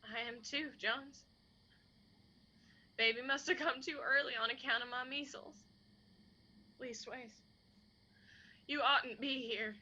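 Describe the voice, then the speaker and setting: Sharp, sorrowful young Appalachian woman. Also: sits high, fairly even intonation, slow, pauses often, muffled recording, exaggerated voice. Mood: grieving, resigned. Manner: subdued, sorrowful. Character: grieving, resigned young Appalachian mother.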